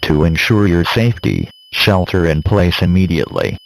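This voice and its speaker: A deep male voice delivers a scripted emergency alert. The announcer sounds formal, impersonal and synthetic, with faint noise and hum underneath. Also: big pitch swings, normal speed, vocal fry, poor audio.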